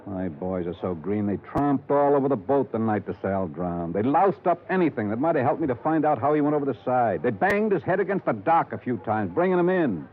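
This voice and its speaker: An American actor playing a weary, gruff small-town police chief in a 1950s radio detective drama, folksy, terse and dryly sardonic. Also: male voice, mid-range voice, big pitch swings, brisk, few pauses, a little hiss or hum, lightly acted. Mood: wry, grim. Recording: tinny 1950s radio broadcast recording.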